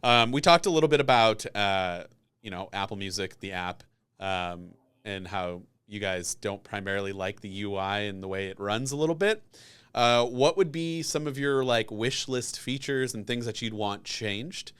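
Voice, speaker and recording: A man's voice: lowish voice, moderate pace, a bit bright, clean tone. A man hosting a podcast, chatting in a casual, rambling, conversational interview style. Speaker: podcast host.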